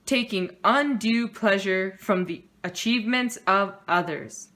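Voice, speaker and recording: A woman's voice: mid-range voice, unhurried, a bit bright, close to an everyday voice. A woman voicing a formal male school principal bear in a storybook, announcing spelling words precisely.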